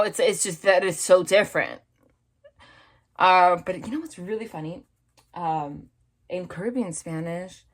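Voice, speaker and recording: A woman with a New York accent reads tarot cards at a table and talks in a loose, rambling way, restarting often. Her voice sits low, with a creaky, gravelly texture. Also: a little hiss or hum. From New York / New Jersey area. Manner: chatty, rambling, many restarts and asides. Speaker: tarot reader. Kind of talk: casual tarot reading chat. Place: indoors at a table, hands over cards.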